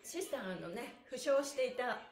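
High-pitched woman leading stretches, roomy echo. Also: expressive, moderate pace, soft background music. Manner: cheerful, encouraging, polite instruction. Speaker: stretching instructor and host. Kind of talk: live-streamed exercise lesson with counted chants. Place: small bare-walled room indoors. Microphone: camera a few feet away. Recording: roomy echo from camera distance.

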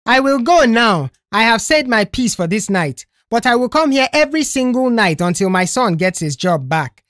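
A woman's voice: a little high, big pitch swings, normal speed, no echo, clean studio sound, voice pushed up. A woman voicing an indignant, defiant middle-aged mother in an audio story, confrontational and dramatic. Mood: defiant, threatening.

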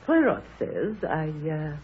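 An actress playing a chatty, self-satisfied middle-aged spinster who fancies herself a sleuth, in a 1930s radio drama. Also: female voice, sits low, very animated, slow, a little hiss or hum, full character voice. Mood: eager, gossipy. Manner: gossipy, opinionated. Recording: muffled, narrowband 1930s radio broadcast.

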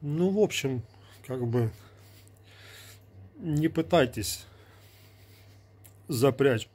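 A man's voice: mid-range voice, animated, very slow, a little mellow, breathy edge. A man in his forties from Ukraine, talking to a phone camera at arm's length in a small indoor room, arguing with a sarcastic, lecturing manner. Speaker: vlogger. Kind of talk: casual talk to camera. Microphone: earbud mic, phone at arm's length.

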